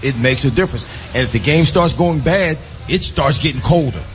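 A man's voice: mid-range voice, animated, brisk. A man, a former athlete, making emphatic, repeated points in a casual sports discussion. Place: live broadcast from a casino. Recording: muffled, faintly noisy live venue recording.

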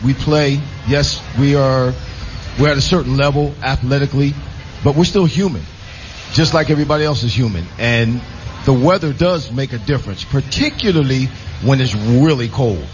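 A man's voice: mid-range voice, normal speed. A man, a former athlete, making emphatic, repeated points in a casual sports discussion. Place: live broadcast from a casino. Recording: muffled, faintly noisy live venue recording.

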